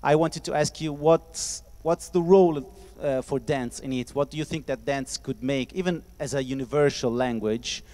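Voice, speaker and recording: A man from Italy hosting a casual festival discussion, playful and quick to laugh, talking into a handheld mic with many fillers. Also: medium pitch, mellow. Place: festival hall with audience. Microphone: handheld microphone. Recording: reverberant hall.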